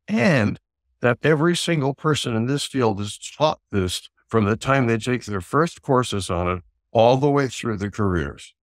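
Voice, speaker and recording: Low-voiced older American man, blunt. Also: dry recording, studio quality. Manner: blunt, instructive. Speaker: trial consultant and author. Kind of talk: expert explanation. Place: indoors, video call.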